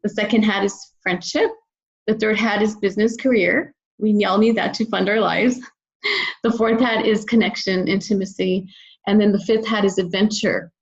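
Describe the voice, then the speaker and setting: A woman's voice: mid-range voice, fairly even intonation, normal speed, lo-fi sound. A woman speaking as a podcast guest, reflecting in an earnest, encouraging, conversational way. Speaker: podcast guest, children's book author. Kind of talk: conversational interview, personal reflection.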